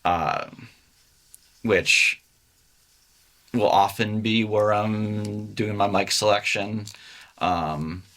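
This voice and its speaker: Low-voiced, unhurried man. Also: fairly even intonation, a bit bright, poor audio. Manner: hesitant, many fillers. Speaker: music engineer guest. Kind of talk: casual interview talk.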